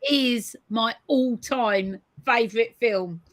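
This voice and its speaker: A woman hosting a live-stream conversation over a video call, hoarse today, thoughtful as she reads out viewer comments. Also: a little high, takes it slowly, dry recording. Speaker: host.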